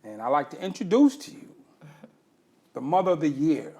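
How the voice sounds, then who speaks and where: A man's voice: fairly high pitch, sing-song, normal speed, dark tone. Probing male interview host. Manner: probing, repeats answers back as questions. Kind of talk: conversational interview.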